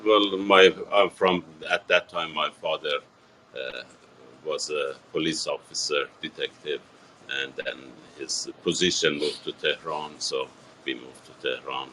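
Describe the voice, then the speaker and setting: Deep-voiced older man. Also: steady tone, pushed and bright, a little hiss or hum, poor audio. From Iran. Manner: halting, many fillers and restarts, earnest. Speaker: interviewee. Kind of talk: TV news interview.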